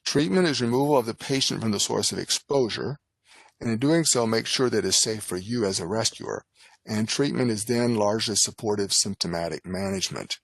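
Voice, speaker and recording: A man narrating a scripted medical lecture as an instructor, in a formal, measured, instructional manner. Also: lowish voice, gravelly, no echo. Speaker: lecturer, nurse and poison specialist.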